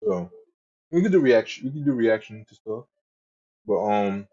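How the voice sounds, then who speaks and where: A man's voice: fairly low pitch, lively intonation, moderate pace, warm tone, highs cut off. A man streaming live, chatting casually to his viewers in a rambling, profane way and often repeating himself. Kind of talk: casual livestream chat.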